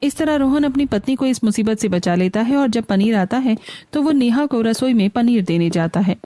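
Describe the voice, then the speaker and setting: A woman's voice: fairly high pitch, lively intonation, moderate pace, mellow, no room sound, studio quality. A woman reading scripted narration for a cartoon family story.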